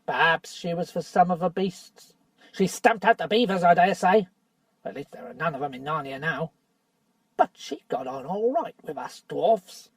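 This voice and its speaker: A reader voicing a gruff, stubborn dwarf. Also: medium pitch, animated, normal speed, no room sound, far from the mic. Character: gruff, loyal male dwarf.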